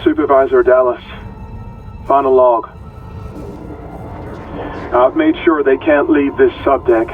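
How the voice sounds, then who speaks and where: An actor playing a trapped, resigned mining supervisor, grave and resolute, in a sci-fi horror game log. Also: male voice, medium pitch, steady tone, slow, pauses often, recorded from a distance, soft background music, natural voice. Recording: tinny comms-like final log.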